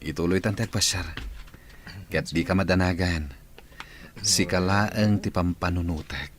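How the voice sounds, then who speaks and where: A man's voice: low-pitched, unhurried. A man with a Filipino accent playing a preoccupied, brooding boyfriend in a radio drama. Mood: placating. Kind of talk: Ilocano radio drama acting. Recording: noisy ambience behind the voice.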